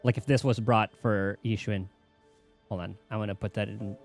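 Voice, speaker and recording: A man's voice: lowish voice, fairly even intonation, normal speed, gravelly, faint music behind. A man giving casual, rambling gameplay commentary as a streamer, in an offhand way. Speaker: game streamer.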